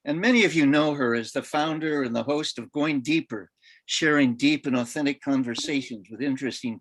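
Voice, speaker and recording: A man with a medium-pitched voice hosts a roundtable. He gives informal introductions in a halting way. The sound is dry, with little room in it. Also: dry recording, rough recording. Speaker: roundtable host. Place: online video meeting.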